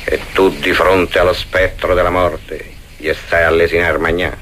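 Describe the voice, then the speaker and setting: An actor with a Roman accent playing a gruff, earthy legionary, blunt and colloquial, in a comic radio play. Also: male voice, low-pitched, flat delivery, unhurried, a bit bright, a little hiss or hum. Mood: grumbling.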